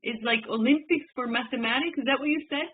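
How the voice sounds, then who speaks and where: A woman's voice: sits high, brisk. A woman moderating a panel, curious and enthusiastic as she asks questions. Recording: phone-like online call audio with faint noise.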